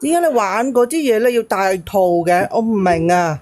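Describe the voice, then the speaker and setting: A man's voice: high voice, animated, moderate pace. A man caller, insistently and repeatedly asking to put a question. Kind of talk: casual questioning.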